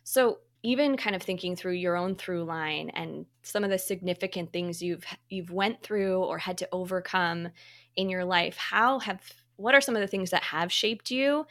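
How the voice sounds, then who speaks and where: A woman's voice: on the low side, moderate pace, fairly bright tone. A woman hosting a podcast, effusive and encouraging as she introduces and interviews in an upbeat style. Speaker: podcast host.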